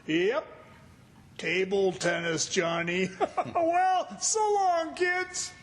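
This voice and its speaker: A man's voice: high voice, drawn-out words. A man playing a jovial, folksy old caretaker who chuckles at his own corny jokes in an old-time radio comedy.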